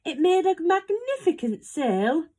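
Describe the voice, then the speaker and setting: Woman as a cheerful, high-voiced giant. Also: sing-song, a little slow, a little mellow, heavily acted. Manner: upbeat, sing-song. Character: kindly, cheerful male giant.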